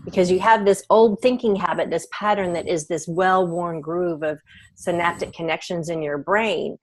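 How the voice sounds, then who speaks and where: Woman coach running a group call, earnest and upbeat, slightly low voice. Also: fairly even intonation, moderate pace, low-quality recording. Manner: earnest, explanatory, encouraging. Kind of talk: coaching talk and group facilitation. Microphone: video call.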